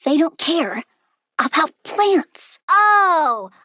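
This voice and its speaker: A woman's voice: high voice, sing-song, very slow, bright, forceful tone, no echo, clean studio sound, full character voice. A woman playing a childlike, bratty young plant-girl villain in a video game, perky, blunt and gleefully bloodthirsty. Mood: petulant, huffy. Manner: petulant, perky, blunt, sassy. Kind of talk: video game character voice lines.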